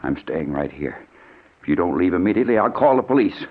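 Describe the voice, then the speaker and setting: An actor playing a frightened, blustering businessman who stammers excitably in a 1940s radio drama. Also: sits low, fairly quick, slightly rough, exaggerated voice. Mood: alarmed, defiant. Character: frightened, haggard guilty businessman, blustering and panicky. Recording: noisy, tinny 1940s radio recording.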